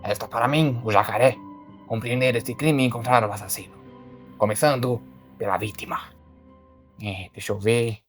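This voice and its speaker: A man voicing a blunt, exasperated alligator detective, a ship's guard who questions people in a casual, muttering way, in an animated film. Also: medium pitch, normal speed, pauses often, close to an everyday voice. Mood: businesslike. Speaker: ship security guard turned detective. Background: faint music.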